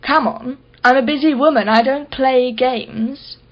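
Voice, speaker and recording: A woman's voice: high voice, measured pace, lo-fi sound. An actress playing a wealthy, condescending and sardonic female art collector in a radio play. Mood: affronted. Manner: condescending, mocking, self-satisfied.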